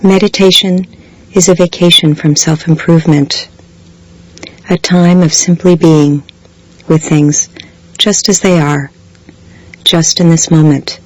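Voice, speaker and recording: Low-voiced woman guiding a meditation. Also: fairly even intonation, a little mellow, poor audio. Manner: slow, measured, with long pauses. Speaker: meditation guide. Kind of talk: guided body scan meditation, scripted soothing instruction.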